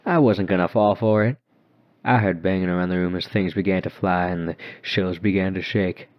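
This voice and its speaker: A man's voice: low voice, steady tone, normal speed. A man narrating a horror story dramatically in the first person. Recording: dull muffled lo-fi recording.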